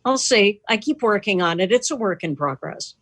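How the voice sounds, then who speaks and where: A woman's voice: medium pitch, animated, normal speed, fairly bright tone, dry recording, studio quality. A woman chatting casually and jokingly as the host of a club's video call, telling little anecdotes. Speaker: host of an online club meeting. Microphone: computer mic on a video call.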